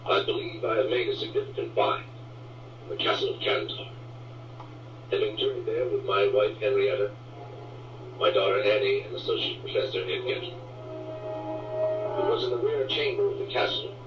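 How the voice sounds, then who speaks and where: An actor playing a solemn, haunted archaeology professor dictating a formal horror film log, heard through old reel-to-reel tape playback. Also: male voice, mid-range voice. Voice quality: grave, measured, formal diction. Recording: muffled, echoey old tape playback, distant.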